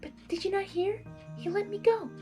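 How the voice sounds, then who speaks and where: Woman voicing a high-pitched, timid young pony girl. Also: fairly even intonation, moderate pace, over music. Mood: timid, unsure. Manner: hesitant, stammering, pleading. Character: timid, anxious young female pegasus pony. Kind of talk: expressive storybook character reading.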